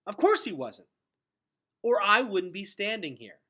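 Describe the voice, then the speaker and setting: A man voicing a defiant, earnest young boy hero in a storybook, blunt, pleading and forceful. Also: high voice, sing-song, measured pace, voice pushed up, heavily acted. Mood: indignant.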